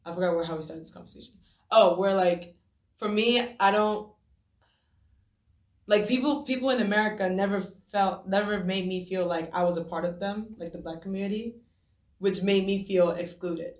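Young woman in her twenties, from Japan, casual podcast guest, talks in loose rambling sentences that restart often, middle-pitched voice, roomy echo around her. She sounds relaxed and chatty. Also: moderate pace. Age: 20s. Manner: rambling, with many restarts and likes. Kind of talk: casual conversational storytelling.

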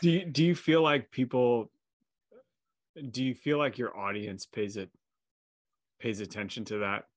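A podcast host asking questions in a halting way, often repeating words while searching for the phrasing. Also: mid-range voice, steady tone, no room sound, clean studio sound. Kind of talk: conversational interview.

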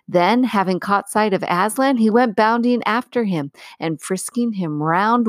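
A woman narrating a storybook as an audiobook reader, with measured, expressive phrasing. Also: mid-range voice, dry recording, studio quality.

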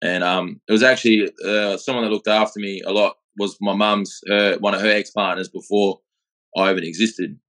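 An Australian man in his thirties tells his story in a low, even voice that barely moves up or down. He is plain-spoken and rambling, and he often restarts his sentences. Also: moderate pace. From Australia (Perth). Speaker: guest, gym owner and boxer. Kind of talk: casual storytelling in an interview.

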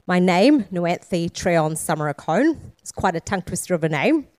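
A woman's voice: lowish voice, very animated, moderate pace, darkish tone, no room sound. A woman from Sri Lanka, Scotland and New Zealand giving a conference keynote, using rhetorical questions and emphatic repetition. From born in Sri Lanka, raised in Scotland and New Zealand. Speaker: keynote speaker, founder.

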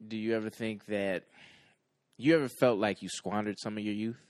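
A man in his twenties in casual conversation, haltingly circling back over his phrases as he makes a point. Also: lowish voice, fairly even intonation. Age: 20s. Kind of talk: casual chat.

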